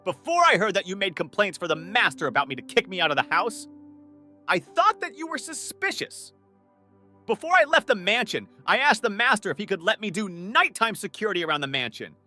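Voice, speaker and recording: Guy doing a young anime butler, bright voice that swoops around, polite n flustered, romcom dub. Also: high voice, sing-song, fairly quick, faint music behind, heavily acted. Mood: reflective. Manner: earnest, easily flustered, polite. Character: earnest, flustered young male student butler devoted to the heiress. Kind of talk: anime-style romantic comedy dub with first-person narration.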